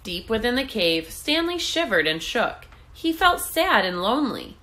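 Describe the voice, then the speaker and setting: A woman reading a children's storybook aloud in an expressive, deliberate way. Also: fairly high pitch. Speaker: read-aloud host.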